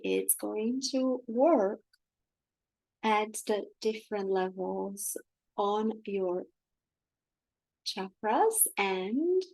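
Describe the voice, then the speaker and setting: A woman's voice: medium pitch, a little mellow, dry recording, low-quality recording. Woman channeling a serene council of star beings. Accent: Romanian. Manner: very slow, measured, solemn delivery with long pauses. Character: calm collective of loving star beings, speaking as one.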